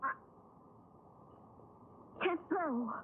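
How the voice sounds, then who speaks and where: A woman's voice: sits high, lively intonation, extremely slow, slight background noise, full character voice. An actress playing a frightened, lonely woman in an old radio drama, speaking in a dramatic, anxious, hesitant way. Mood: fearful, hesitant. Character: frightened, lonely, haunted woman stranded at night. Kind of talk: old radio drama acting, part narration. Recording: tinny band-limited old radio drama, music behind.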